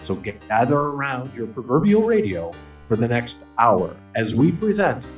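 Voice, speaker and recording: A man's voice: mid-range voice, big pitch swings, measured pace. Roomy, distant male radio announcer. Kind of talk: scripted radio drama introduction. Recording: roomy, distant mic, faint music. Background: faint music.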